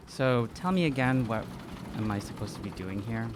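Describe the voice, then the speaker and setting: A man playing a tabletop game, improvising casually in a laid-back, halting way. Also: medium pitch, lively intonation, background noise. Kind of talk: casual improvised role play.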